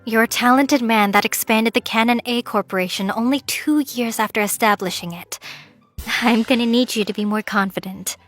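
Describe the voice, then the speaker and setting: A woman's voice: fairly high pitch, normal speed, a bit bright, faint music behind, lightly acted. A woman playing a composed, loyal young female employee in a manga story dub, polite, matter-of-fact and encouraging. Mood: firm, reassuring.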